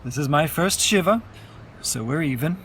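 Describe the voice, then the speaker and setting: A wry, stubborn mourner. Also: male voice, a little high, animated, normal speed, fairly bright tone, a little hiss or hum. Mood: dry, wry. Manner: dry, teasing, sardonic. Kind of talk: radio play dialogue.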